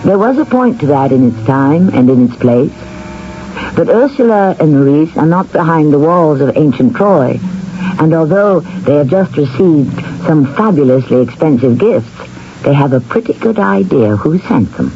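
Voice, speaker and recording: A man's voice: fairly high pitch, expressive, moderate pace, a little hiss or hum. A man hosting a radio mystery, narrating from a script in an arch, literary, theatrical manner. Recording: muffled old radio recording.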